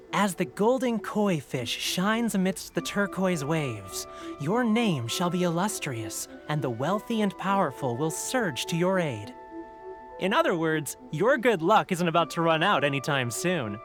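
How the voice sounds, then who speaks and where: A man's voice: sits high, very animated, unhurried. A man playing a jovial, deferential fortune-stall keeper in an anime-style game, effusive and welcoming. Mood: congratulatory. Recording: music behind the voice.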